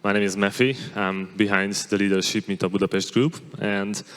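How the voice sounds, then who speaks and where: A man with a Hungarian accent, a native Hungarian speaker, opening a meetup as host, nervous and self-deprecating, speaking haltingly. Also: fairly low pitch, fairly even intonation, fairly bright tone, clear, resonant tone. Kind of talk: event introduction.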